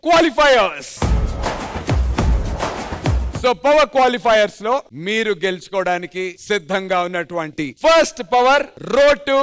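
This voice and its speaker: A man's voice: sits high, lively intonation, measured pace, fairly bright tone, clean tone. A man with an Indian accent presenting on stage as a show host, emphatic and drawing out his announcements, mixing in English. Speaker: host of a dance reality show. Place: television studio stage. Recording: music behind the voice.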